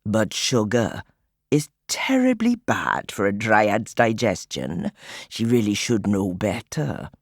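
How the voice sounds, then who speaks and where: Here is a woman playing a spry, grandfatherly old gentleman from a children's storybook. He speaks with fussy precision and old-fashioned courtesy. His delivery is theatrical and swings widely in pitch, heard close and dry. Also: big pitch swings, slow pace, dry recording, exaggerated voice. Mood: mock disapproving. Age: seventies. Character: spry, theatrical, grandfatherly immortal old man, genteel godfather. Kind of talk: children's audiobook character voice.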